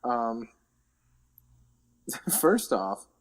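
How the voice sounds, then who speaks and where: A man of medium pitch co-hosts a podcast at a distinctly slow pace. His talk is informal and jokey, and he often repeats words to stress them. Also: big pitch swings. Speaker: podcast co-host. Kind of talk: casual banter about games and films.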